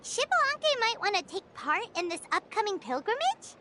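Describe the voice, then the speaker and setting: A woman voicing a small, excitable, childlike fairy companion in a video game, with an exclamatory way of talking. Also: very high voice, moderate pace, a little hiss or hum, exaggerated voice. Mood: curious. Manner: refers to self in third person, exclamatory.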